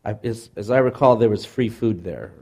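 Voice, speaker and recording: A man's voice: fairly low pitch, moderate pace, gravelly, background noise. A middle-aged man, a newspaper columnist, chatting and rambling as he takes questions from an audience, laughing often. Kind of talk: casual Q&A talk with audience.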